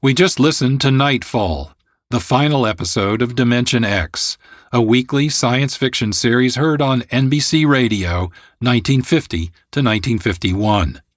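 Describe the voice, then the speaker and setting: Dry-sounding male radio host, measured delivery. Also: mid-range voice, no room sound. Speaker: producer and host. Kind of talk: scripted radio presenting.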